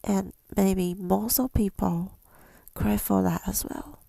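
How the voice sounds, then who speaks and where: A woman giving an intimate ASMR monologue, mostly in a breathy whisper, with a tender, hesitant, affirming manner, close to the mic. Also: medium pitch, steady tone, slow pace, dark tone, no room sound. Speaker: ASMR creator speaking to listeners.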